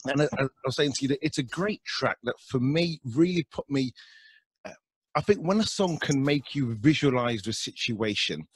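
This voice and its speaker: A man's voice: medium pitch, lively intonation, normal speed, husky, no echo. A man hosting an interview show, asking questions with eager enthusiasm and frequent restarts. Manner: warmly enthusiastic, with stumbles and restarts. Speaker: show host.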